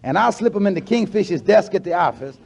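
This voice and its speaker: A man playing a kindly, earnest family friend in a 1940s radio comedy, reassuring in a gentle, folksy way. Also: high-pitched, lively intonation, brisk, gravelly. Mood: earnest, helpful. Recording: muffled 1940s radio recording.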